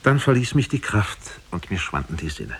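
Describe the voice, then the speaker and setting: A man's voice: deep voice, huge pitch swings, normal speed, gravelly, slightly rough, heavily acted. An actor playing a learned former prince who recounts his adventures formally in a 1950s radio play. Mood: solemn recounting. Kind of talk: 1950s German radio drama storytelling.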